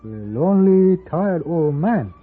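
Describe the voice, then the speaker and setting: On a thin vintage radio recording, a deferential, curious servant in a 1950s Western. He speaks broken English in a husky voice with lively swoops of pitch. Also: slow, narrow, tinny audio, faint music behind, exaggerated voice. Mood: eager, curious. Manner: broken English, eager questioning. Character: eager, deferential Chinese male hotel servant, curious.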